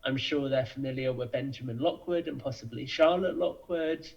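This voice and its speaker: Thoughtful man with a creaky voice. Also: medium pitch, normal speed, muffled recording. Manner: thoughtful, explanatory. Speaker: podcast guest. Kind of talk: conversational interview answers.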